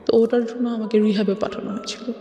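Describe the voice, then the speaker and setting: A woman's voice: fairly high pitch, little pitch movement, fast, warm tone, highs cut off, a little hiss or hum. A young woman with a Bangladeshi accent giving a conversational personal account as an interview guest, mixing in English phrases. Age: young adult. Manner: mixes English phrases into her talk. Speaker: interview guest telling her life story. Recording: echoey with long reverb.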